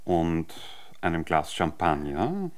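Courtly gentleman with swooping intonation. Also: low-pitched, sing-song, slow, full character voice. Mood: courtly, flirtatious. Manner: formal, old-fashioned gallantry. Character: courtly, curious male law-office secretary, formally flirtatious. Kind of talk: radio drama acting.

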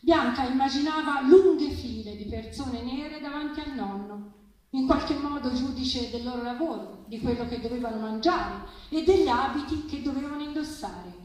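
A woman giving an expressive live reading of a literary story to a festival audience. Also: sits high, moderate pace. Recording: very echoey, distant, faint noise.

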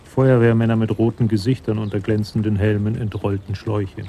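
The composed narrator of a radio crime play. His mid-pitched voice is mellow with a creaky edge. Faint ambience runs behind the scripted prose. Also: level tone, a little fast, slight background noise. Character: composed storyteller of a police tale.

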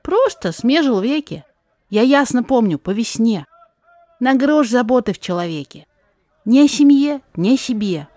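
Woman as gossipy market fruit seller, pitched up. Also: very animated, moderate pace, mellow, dry recording. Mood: gossipy, regretful. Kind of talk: rhymed audio drama reading.

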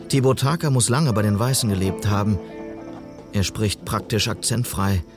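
An actor playing an idealistic, polite lawman in a German radio drama, sober and measured. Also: on the low side, vocal fry. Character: idealistic, polite lawman travelling the Wild West.

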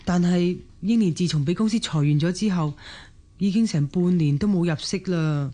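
A woman's voice: on the low side, normal speed, warm tone, natural voice. Actress as an earnest Cantonese working mother. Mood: resigned, explaining. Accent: Hong Kong Cantonese. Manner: earnest, deferential, explaining. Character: worn but dutiful working mother, daughter-in-law. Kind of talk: Cantonese radio drama acting.

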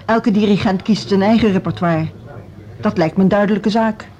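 An actress playing an imperious, defensive music school director in a Dutch radio drama, speaking in a brisk, formal, dismissive way. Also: female voice, mid-range voice, warm tone, dull audio, natural voice. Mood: brisk, self-assured.

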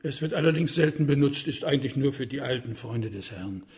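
An actor playing a deferential old house steward in a radio crime play, servile and plain-spoken, with a raspy voice. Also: male voice, mid-range voice, lively intonation, brisk, darkish tone. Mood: humble, explanatory.

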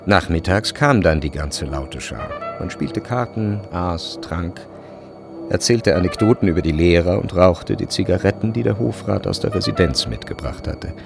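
A man narrating a literary radio drama in a measured, precise storytelling manner. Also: low voice, lively intonation, creaky. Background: faint music.

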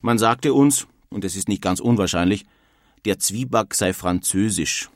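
Actor as sardonic emigrant recounting hardship, dry sound. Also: male voice, on the low side, no room sound, natural voice. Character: weary, wryly bitter male emigrant letter-writer of past centuries. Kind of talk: dramatic reading of historical letters in radio feature.

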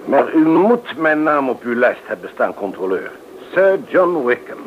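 An imperious, condescending gentleman who expects to be obeyed. His voice sits at a medium pitch. The radio drama recording is thin and noisy. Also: sing-song, a little slow, dull audio. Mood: insistent. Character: pompous, insistent titled gentleman train passenger. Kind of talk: Dutch radio play acting.

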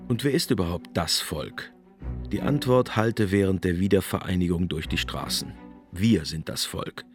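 Low-voiced male documentary narrator. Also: normal speed, soft background music. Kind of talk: scripted documentary commentary.

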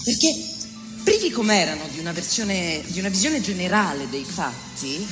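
A woman giving a dramatic theatrical reading, recorded with long hall reverb. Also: on the high side. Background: music.

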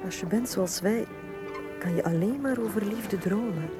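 A woman's voice: mid-range voice, normal speed, over music, natural voice. An actress playing a proud, vulnerable young small-statured Venetian woman in a radio drama, speaking frankly and earnestly with a wistful edge. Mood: wistful, loving. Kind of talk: Dutch-language radio drama acting.

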